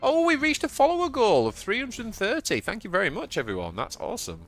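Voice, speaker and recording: Excitable Yorkshire man streaming a game. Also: quick pace, soft background music. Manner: excitable, bursts into high yelps at surprises. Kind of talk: live gameplay commentary.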